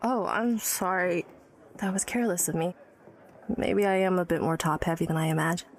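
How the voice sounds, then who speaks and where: A woman's voice: fairly low pitch, fairly even intonation, normal speed, natural voice. An actress playing an educated, tipsy, wry woman of early-1900s New York in a period audio drama, teasing and guarded. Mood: apologetic, reluctant. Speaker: storyteller recalling her childhood.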